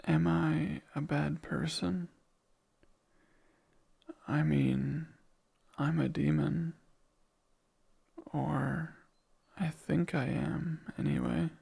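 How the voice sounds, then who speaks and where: Man doing a gravelly whispering shadow demon. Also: fairly high pitch, fairly even intonation, full character voice. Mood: tender. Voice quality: whispery, breathy. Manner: slow, soft-spoken, wistful musing. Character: lonely, clingy male sleep paralysis demon. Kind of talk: ASMR roleplay.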